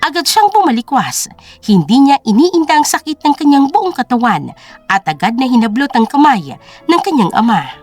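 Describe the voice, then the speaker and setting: High, expressive woman storyteller. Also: normal speed. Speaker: narrator. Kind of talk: dramatic scripted story narration. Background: music.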